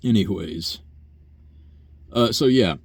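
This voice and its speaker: A young man talking through a rambling, casual personal monologue, profane and full of fillers and sighs, with a low buzzy voice. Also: lively intonation, slow, gravelly, slightly rough, dry recording. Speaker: vlogger.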